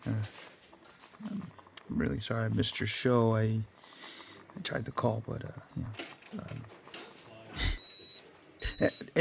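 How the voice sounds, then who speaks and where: An actor playing a weary, awkward middle-aged police detective in an audio drama. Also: male voice, mid-range voice, creaky, slight background noise. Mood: awkward, regretful.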